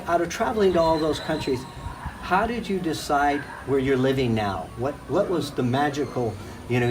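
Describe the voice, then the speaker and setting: A man in his later years hosting a casual travel interview, chatty and relaxed, with frequent affirmations. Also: mid-range voice, poor audio. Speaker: host.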